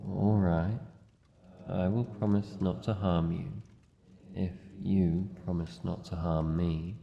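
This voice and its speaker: An Australian man playing a wary, lonely living shadow monster in a fantasy ASMR roleplay, speaking in a formal, halting, guarded way. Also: low voice, fairly even intonation, vocal fry, heavily acted. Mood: guarded, softening. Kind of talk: fantasy ASMR roleplay, slow deliberate delivery. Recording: muffled, long cavernous reverb, faint noise.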